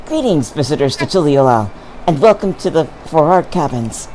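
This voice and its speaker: A man's voice: on the high side, big pitch swings, normal speed, a little mellow, a little hiss or hum, rough recording. A man voicing a polite female innkeeper who greets guests formally while reading game dialogue aloud. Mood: welcoming. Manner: courteous, formal.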